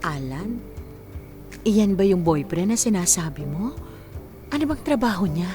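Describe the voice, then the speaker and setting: A woman's voice: fairly low pitch, lively intonation, unhurried, warm tone, faint music behind. A woman playing a loving, weary middle-aged mother in a Filipino radio drama, with a tender, coaxing, sighing manner. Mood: probing.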